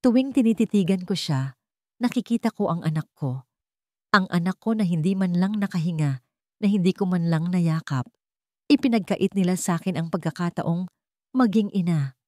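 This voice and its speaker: A woman voicing a grieving, vengeful young mother who poses as a gentle teacher, in a Filipino-accented audio drama. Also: on the low side, animated, moderate pace, dry recording, studio quality, lightly acted. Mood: bitter, determined. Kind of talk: dramatic audio story acting.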